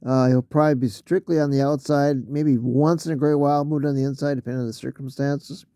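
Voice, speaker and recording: Hoarse man clearing his throat, halting. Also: medium pitch, fairly even intonation, dark tone, dry recording, studio quality. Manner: clears throat often, halting with many fillers. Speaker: mock draft host. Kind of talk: casual solo commentary.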